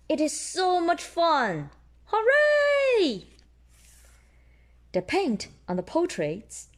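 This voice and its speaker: A woman reading picture books aloud for young children and explaining things simply. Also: on the high side. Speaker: storybook reader.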